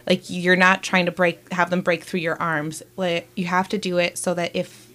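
A lower-pitched woman chatting casually as an interview guest. She talks in a bubbly, loud way and laughs often between thoughts. Her voice sits a little low for a woman. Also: little pitch movement, normal speed. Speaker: roller derby skater, guest.

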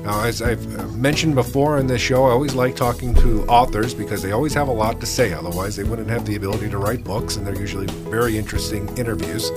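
A man hosting a local radio interview show in a studio, chatty and friendly with frequent filler uhs. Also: fairly low pitch. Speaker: radio talk show host.

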